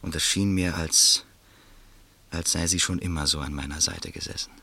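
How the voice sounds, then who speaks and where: A man narrating a radio play in first person, calm and reflective. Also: rumbling low, moderate pace, creaky, husky, dry recording.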